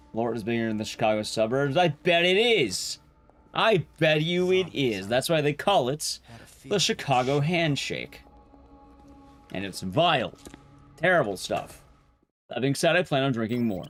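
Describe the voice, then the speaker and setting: A man's voice: normal speed, long pauses, faint music behind. Chatty rambling male streamer. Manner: rambling, chatty, lively pitch jumps. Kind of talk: casual chat to stream viewers.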